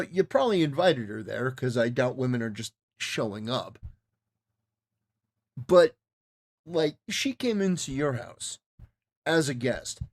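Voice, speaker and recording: Emphatic man giving opinionated commentary. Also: fairly high pitch. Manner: emphatic, repetitive, stop-start phrasing. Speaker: livestreamer reacting to a clip.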